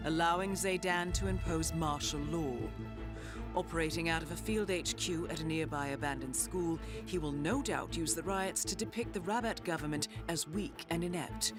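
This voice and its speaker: A woman playing a composed, professional handler who briefs an assassin in a measured, formal, articulate way for a video game. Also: on the low side, natural voice. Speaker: mission briefer. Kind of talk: scripted briefing narration. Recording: loud music under the voice.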